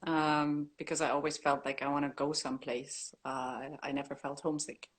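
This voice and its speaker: A woman's voice: low voice, level tone, normal speed, husky. A woman, chatting casually and modestly as a guest artist in an interview. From Germany. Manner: laughs often, modest and chatty. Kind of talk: casual interview on a video call.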